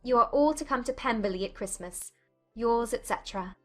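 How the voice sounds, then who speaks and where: A woman playing a witty, spirited young gentlewoman in a dramatized period romance audiobook, with a playful, articulate manner. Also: fairly high pitch, fairly quick, soft background music, natural voice. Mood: joyful, affectionate.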